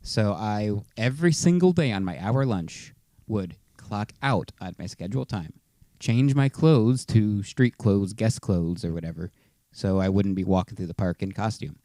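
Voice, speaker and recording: A man's voice: fairly low pitch, moderate pace, warm tone, no room sound, studio quality. A man co-hosting a podcast, telling a personal story in a casual, conversational way. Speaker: podcast co-host.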